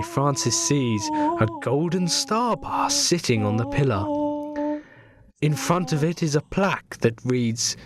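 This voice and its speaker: A man with a slightly high voice narrates an improvised comedy story. He goes slowly, with deliberate dramatic pauses and a mock-serious manner. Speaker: podcast narrator.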